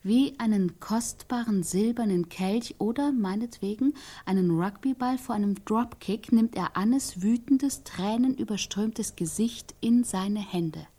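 An actress playing an insistent, exacting scriptwriter who invents a tragic love scene in a stylised radio play, speaking deliberately and precisely. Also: female voice, mid-range voice, warm tone, slightly rough. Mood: dramatic, measured. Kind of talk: stylised radio drama acting.

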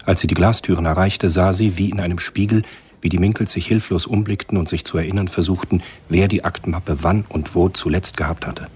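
An actor narrating a German radio spy thriller in a measured, scripted style. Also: male voice, low voice, steady tone, a little mellow, breathy edge.

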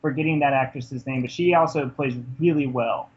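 A man's voice: medium pitch, fairly even intonation, brisk, husky. A man casually reviewing a film in conversation, enthusiastic and rambling, often restarting his sentences. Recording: dull lo-fi podcast audio.

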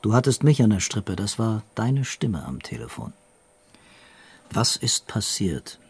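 Husky, blunt police investigator. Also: male voice, fairly low pitch, sing-song, measured pace, exaggerated voice. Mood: probing. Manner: blunt, interrogating. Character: blunt, probing male police investigator, tough and weary. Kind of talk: German radio crime drama acting.

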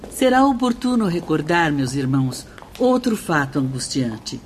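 A woman's voice: low voice, sing-song, normal speed, slight background noise. An actress playing an earnest female spirit who lectures in a radio play.

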